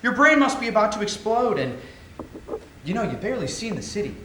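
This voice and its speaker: A man's voice: sits high, very animated, a little fast, fairly bright tone. A man playing a charming, teasing doctor in a sci-fi stage reading. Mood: teasing, flirtatious. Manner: playful, teasing, theatrical. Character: charming, flirtatious, teasing male doctor in a Martian colony. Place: theatre stage. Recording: roomy theatre recording with faint noise.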